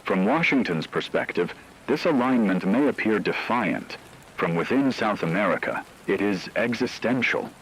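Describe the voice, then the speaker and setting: A deep-voiced, solemn radio anchor played by a man delivers an emergency news script. The delivery is measured and ominous, with widely moving intonation. A faint layer of noise sits behind the voice. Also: very animated, fairly bright tone, rough recording. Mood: grave, ominous. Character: grave male radio anchor reporting a looming war.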